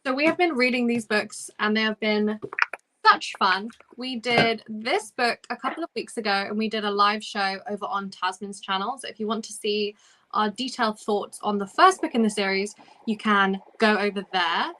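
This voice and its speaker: A woman's voice: mid-range voice, normal speed, background noise. A woman hosting a casual book discussion on a livestream, talking in a chatty, informal way. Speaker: host of a book discussion live show.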